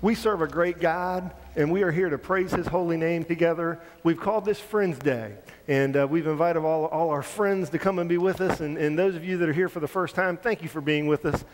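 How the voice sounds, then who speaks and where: A man's voice: a little high, brisk, few pauses. A man leading a church service, welcoming newcomers in an easy, informal way with a few fillers. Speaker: pastor. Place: large church sanctuary. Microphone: handheld mic. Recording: long reverb of a church hall.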